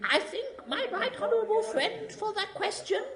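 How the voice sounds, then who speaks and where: A man's voice: extremely high pitch, expressive, normal speed, fairly bright tone. A man playing a pompous, blustering parliamentary official in a radio drama, with formal, self-important speech. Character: blustering pompous parliamentary Chancellor calling for order. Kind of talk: comic radio drama acting. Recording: echoey chamber sound.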